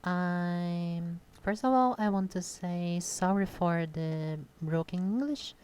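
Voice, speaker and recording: A young woman from Brazil with a Brazilian Portuguese accent, speaking shyly and haltingly in an unscripted casual monologue at a home computer desk, close to her microphone, with many pauses and fillers. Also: fairly low pitch, a little mellow, clean tone. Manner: shy. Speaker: content creator.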